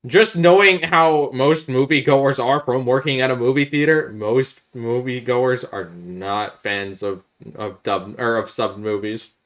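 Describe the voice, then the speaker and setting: A man's voice: mid-range voice, steady tone, a little slow, hardly any pauses, a bit bright. A man co-hosting a podcast in casual chat, making his points in an emphatic, explanatory way. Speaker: podcast co-host.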